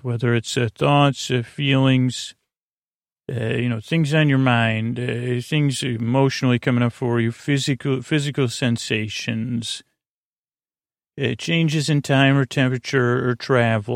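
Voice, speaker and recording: A man with a mid-pitched, creaky voice talks as the host of a sleep podcast. He is lulling and halting, circling through tangents and correcting himself as he goes. The sound is dry, with no room around it. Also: dry recording. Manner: rambling, halting, frequent tangents and self-corrections; soothing, lulling delivery. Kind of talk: meandering sleep podcast monologue.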